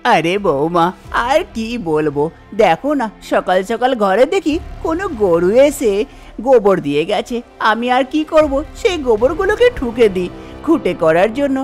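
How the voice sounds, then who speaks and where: A woman's voice: on the high side, sing-song, a little slow, a little mellow, soft background music, pitched below the performer's own voice. A woman voicing a chatty, thrifty older village mother-in-law in a cartoon, full of exclamations. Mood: excited, wishful. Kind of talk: Bengali cartoon voice acting.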